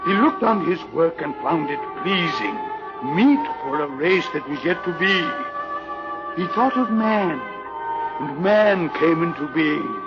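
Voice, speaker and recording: Old solemn legend keeper, slow chanting delivery, higher male voice, old radio drama. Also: animated. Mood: reverent, incantatory. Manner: slow, incantatory, oracular recitation. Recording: muffled old radio recording with music behind.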